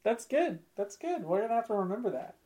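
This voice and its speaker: A man's voice: sits high, very animated, fast, dark tone, slight background noise. Enthusiastic man in casual podcast chat. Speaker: podcast guest.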